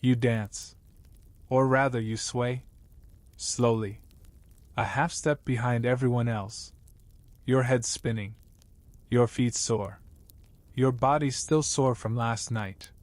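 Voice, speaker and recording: A man's voice: mid-range voice, steady tone, no echo. Male bedtime story narrator, dry close recording. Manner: measured, wry, second-person storytelling.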